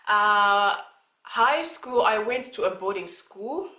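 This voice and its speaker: A young woman from Zimbabwe talks casually to her viewers in a medium-pitched voice, meandering through her thoughts with frequent fillers and false starts. The room around her is noticeably echoey. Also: animated, takes it slowly, bright, forceful tone. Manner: chatty, rambling with many fillers and restarts. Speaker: vlogger. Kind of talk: casual talk to camera. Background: child talking in the background.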